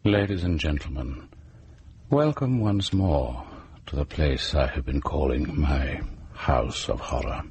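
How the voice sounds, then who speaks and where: An actor playing a sinister, sardonic host of horror tales in a radio drama, theatrical and mock-reassuring. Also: male voice, rumbling low, lively intonation, a little mellow, no echo, full character voice. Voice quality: gravelly, husky. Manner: slow-relishing, mock-reassuring. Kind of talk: theatrical scripted horror introduction.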